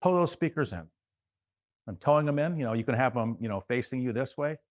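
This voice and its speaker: A man speaking as a guest expert, giving casual practical advice in a loose, halting way that circles back. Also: mid-range voice, animated.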